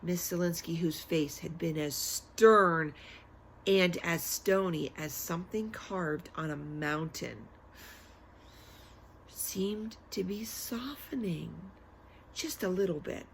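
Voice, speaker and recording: A woman's voice: on the low side, slow pace, creaky, a little hiss or hum. A woman reading a children's story aloud dramatically, with chatty asides and questions to her listeners.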